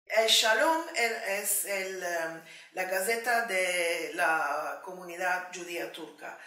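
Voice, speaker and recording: A woman from Turkey whose first language is Turkish, speaking slowly and thoughtfully with many pauses in a documentary interview. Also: on the low side, bright, forceful tone. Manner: slow, thoughtful, with frequent pauses and fillers. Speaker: Ladino language advocate interviewee. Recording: roomy sound, mic at a distance.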